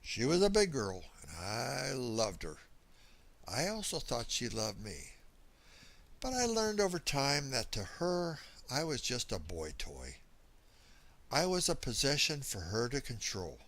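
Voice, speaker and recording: A cheerful little middle-aged drifter acted by a man tells his own story as a dramatic monologue. His pitch swings widely around a medium register. He rambles in a folksy, confiding way, like a good-natured drinker, and leaves dramatic pauses. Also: big pitch swings, vocal fry, a bit bright, full character voice. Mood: wistful, wry. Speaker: story reader. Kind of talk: dramatic first-person monologue reading.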